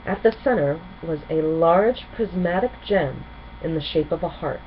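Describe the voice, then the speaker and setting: A woman's voice: low-pitched, lively intonation, normal speed. A woman narrating a children's storybook expressively as a storyteller. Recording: muffled home recording with clear noise.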